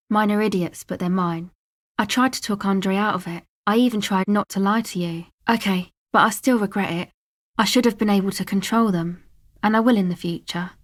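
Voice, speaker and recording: A woman's voice: mid-range voice, natural voice. Actress as an offhand, streetwise young woman. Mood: resigned, earnest. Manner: casual, slangy, offhand and dry. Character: young streetwise woman, guarded, laid-back slacker amateur sound recordist. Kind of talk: video game character dialogue, conversational.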